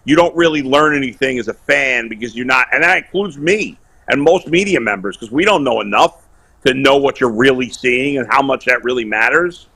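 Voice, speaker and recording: A man calling in to a sports talk show, loud, blunt and opinionated, bantering casually over a video call. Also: medium pitch, brisk, gravelly. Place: remote video call while on vacation.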